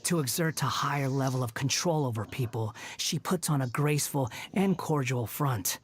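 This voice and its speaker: Low, scornful young wanderer. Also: female voice, normal speed, slight background noise. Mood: mocking. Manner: scornful, condescending, dry. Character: haughty, sardonic young wanderer, a former villain with mechanical parts. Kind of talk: video game character voice-over.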